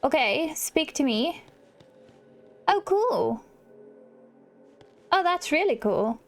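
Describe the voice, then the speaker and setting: A woman's voice: sits high, sing-song, takes it slowly, creaky, soft background music. A woman hosting a gaming video with chatty, giggly, self-mocking commentary. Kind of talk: casual let's play commentary, reading game dialogue aloud.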